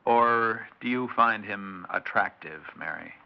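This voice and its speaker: An actor playing a suspicious, exasperated suburban husband in a 1960s radio drama, with an indignant, sardonic way of talking. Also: male voice, lowish voice, measured pace, creaky. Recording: dull 1960s radio recording.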